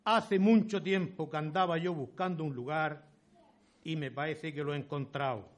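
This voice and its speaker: Man acting a poor peasant settler, high voice, Murcian accent, rustic declamation, determined family man, radio play. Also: moderate pace, lightly acted. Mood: hopeful, resolute. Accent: Murcian Spanish.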